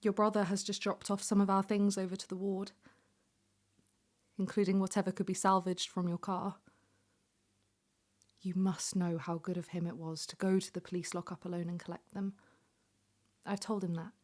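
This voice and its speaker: A woman playing a devoted, tender letter writer, soft and breathy, often near a whisper, in an intimate drama monologue. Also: mid-range voice, little pitch movement, moderate pace, pauses often, natural voice. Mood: tender, hopeful. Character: devoted, tender woman reading her letter to injured loved one.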